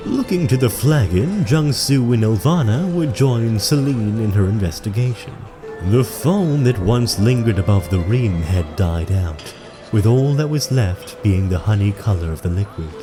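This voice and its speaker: An actor playing a solemn storyteller, narrating a fantasy audio drama in a dramatic, literary manner. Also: male voice, on the low side, lively intonation, measured pace, over music. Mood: suspenseful.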